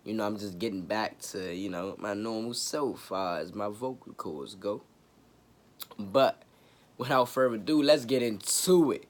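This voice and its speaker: A man hosting his own sports talk show, raspy from a cold, chatting casually with slang and jokes. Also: on the low side, a little slow. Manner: laid-back, joking, chatty with slang. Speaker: sports podcast host.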